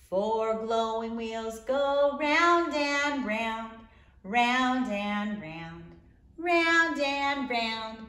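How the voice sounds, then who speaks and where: A woman's voice: fairly high pitch, fairly bright tone. A middle-aged woman reading a children's picture book aloud in a sing-song way, drawing out her phrases for young listeners. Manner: slow, drawn-out, deliberate phrasing for young children. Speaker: early learning teacher reading aloud. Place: indoor room in front of a display board. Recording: roomy, echoing indoor sound.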